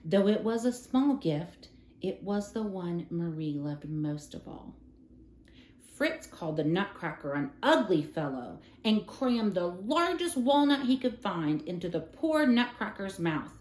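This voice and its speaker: A woman reading a children's story aloud as a storyteller, in an expressive, dramatic style. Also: medium pitch, a little slow. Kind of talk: scripted children's storybook narration. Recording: roomy, echoing room sound.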